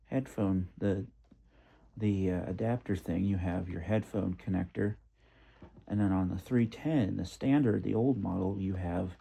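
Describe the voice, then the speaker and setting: Low, dark-voiced man with a gravelly husk. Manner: halting, methodical, thinking aloud. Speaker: product reviewer. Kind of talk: casual spoken product comparison.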